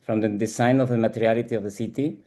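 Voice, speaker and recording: A man from Peru with a Peruvian Spanish accent, giving reflective, halting explanations as an interview guest and often checking in with "right?". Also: fairly low pitch, steady tone, warm tone. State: frequent throat clearing. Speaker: ADHD coach and support group founder, interview guest.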